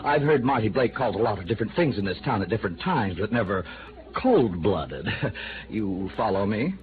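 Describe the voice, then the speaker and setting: An actor playing a prissy, chatty small-town insurance agent, rambling eagerly in a 1950s radio drama. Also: male voice, fairly low pitch, big pitch swings, moderate pace. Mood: exasperated, gossipy. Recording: tinny old radio recording.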